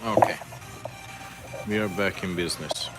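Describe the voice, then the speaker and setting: A man's voice: low voice, fairly even intonation, unhurried, loud music behind the voice. A man from Hungary with a Hungarian accent, chatting casually on a livestream while painting fishing lures in a workshop, answering viewer questions between tasks. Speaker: lure maker.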